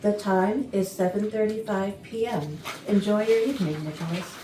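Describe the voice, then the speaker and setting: A woman's voice: mid-range voice, normal speed, warm tone, close to an everyday voice. A woman playing a composed, matter-of-fact, literal-minded employee in a staged comedy reading. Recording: echoey rehearsal room, distant, faint noise.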